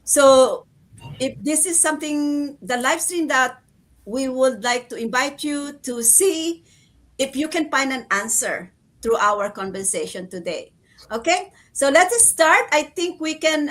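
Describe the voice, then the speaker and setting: High-voiced woman hosting a livestream. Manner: warm, laughs often, restarts sentences. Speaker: livestream host. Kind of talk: casual livestream chat.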